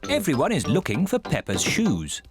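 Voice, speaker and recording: A man's voice: fairly high pitch, huge pitch swings, normal speed. Male storybook narrator over music. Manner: clear, friendly storybook delivery. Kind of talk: scripted children's cartoon narration.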